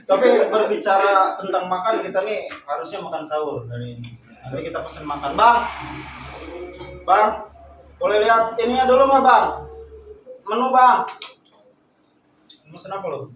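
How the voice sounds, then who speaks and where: A young man speaks in a notably high voice. He co-hosts casual chat in a teasing, anecdotal way, recorded off-mic amid clear background noise. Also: moderate pace, long pauses, a bit bright. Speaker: talk show co-host. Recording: distant mic, echoey space, noisy ambience.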